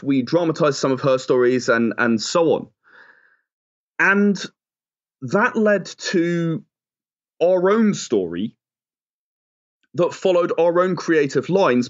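A man giving chatty, enthusiastic, emphatic answers as a guest in a conversational interview. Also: on the high side. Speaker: interview guest, game creator. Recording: dull, lo-fi audio.

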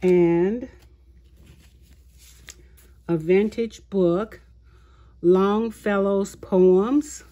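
Slow, mellow-voiced woman showing her finds. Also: mid-range voice, lo-fi sound. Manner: slow, unhurried, halting with fillers. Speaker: craft channel host. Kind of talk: show-and-tell haul video. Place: indoors at a tabletop, voice-over of hands.

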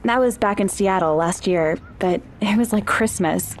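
A young woman playing a sheltered, curious rich teenage girl who speaks softly and hesitantly in an audio drama. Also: mid-range voice, normal speed, slight background noise, lightly acted.

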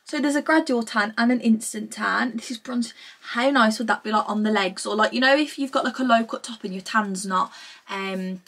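A woman's voice: a little high, normal speed, a bit bright. A woman in her twenties with a British accent, chatting casually to camera in a gushing, informal vlog style at home. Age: 20s. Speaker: vlogger. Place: small room at home, indoors.

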